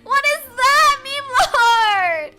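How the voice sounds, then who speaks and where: Giggly American woman streamer, high lively voice. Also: drawn-out words. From United States. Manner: giggly, animated, frequent bursts of laughter. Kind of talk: casual chat reading chat while gaming. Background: faint game music.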